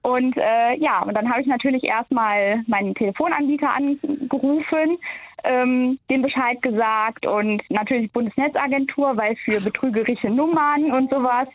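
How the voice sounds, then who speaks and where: Over a narrow, tinny telephone connection, a woman caller talks in a casual, recounting way. Her voice sits a little higher than average. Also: normal speed. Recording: tinny narrow phone line.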